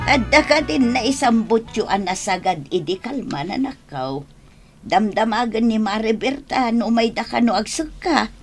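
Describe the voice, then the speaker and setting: A woman's voice: on the high side, lively intonation, moderate pace, breathy edge, soft background music. A woman with an Ilocano accent playing a meddling, admonishing elder mother in a radio comedy drama. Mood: chiding.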